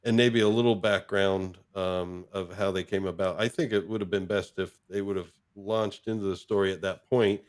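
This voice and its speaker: A man chatting casually about films in a halting way, thinking aloud as he goes. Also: low-pitched, steady tone. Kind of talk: casual film discussion.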